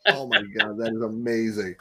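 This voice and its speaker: A man's voice: lowish voice, fairly even intonation, moderate pace, a loud crowd. A man in his forties in a casual chat, easygoing and agreeable. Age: 40s. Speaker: podcast co-host.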